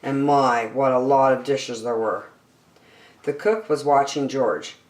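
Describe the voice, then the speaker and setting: A woman hosting story time and reading a children's picture book aloud in a deliberate, expressive way for young listeners. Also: a bass voice. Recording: echoey room, distant microphone.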